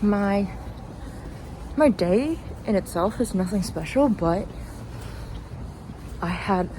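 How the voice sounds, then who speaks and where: A chirpy woman rambles casually, as if to friends, at an unhurried pace with a medium pitch. She halts and restarts her phrases and is quick to giggle. She is recorded outdoors on the move, with cars and street noise clearly behind her. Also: lively intonation. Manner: giggly, chuckles often between phrases; halting, peppered with "like". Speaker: host of a personal walk-and-talk podcast. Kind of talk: casual rambling solo chat. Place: outdoors, walking along a street. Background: passing cars, urban outdoor ambience.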